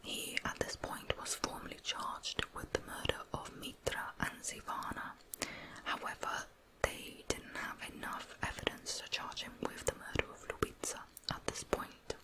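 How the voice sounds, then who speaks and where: Female ASMR storyteller for true crime narration; fully whispered delivery, soft and measured. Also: low-quality recording. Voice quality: whispered, unvoiced.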